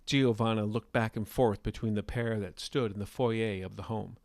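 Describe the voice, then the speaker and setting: A man hosting a fiction podcast, reading scripted narration in a measured, dramatic storytelling style. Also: lowish voice, steady tone, vocal fry, darkish tone, dry recording.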